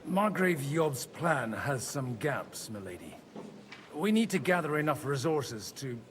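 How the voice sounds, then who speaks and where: Young medieval envoy, courteous, earnest, expressive pitch swings, video game dialogue, light background noise. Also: male voice, a little slow.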